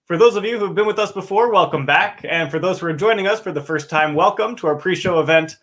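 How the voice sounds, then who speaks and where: Enthusiastic male host, slightly higher voice, scripted livestream introduction. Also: fast talker, fairly bright tone. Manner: upbeat, enthusiastic, clear announcing. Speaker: opera company dramaturg hosting a pre-show.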